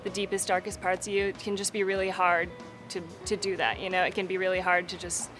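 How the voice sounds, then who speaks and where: A woman's voice: on the low side, little pitch movement, fast, over music. A young adult woman, a singer-songwriter, talking casually and candidly in an interview, peppering her speech with "like" and "you know".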